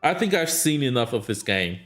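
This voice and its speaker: A man's voice: medium pitch, very animated, a little fast, fairly bright tone, soft background music. A man streaming a mobile game, chatting casually and answering his chat in a rambling way. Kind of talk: casual live-stream chat while gaming.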